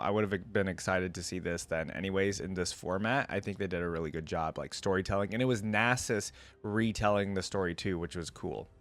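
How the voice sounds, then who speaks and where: A man hosting a reaction video, commenting casually and thinking aloud in informal language full of "like". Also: on the low side.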